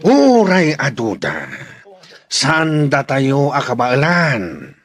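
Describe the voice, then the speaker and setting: A man's voice: medium pitch, huge pitch swings, drawn-out words, full character voice. A man playing a proud, rousing rebel leader in a radio drama, with a declamatory, exhorting delivery. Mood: confident, instructing.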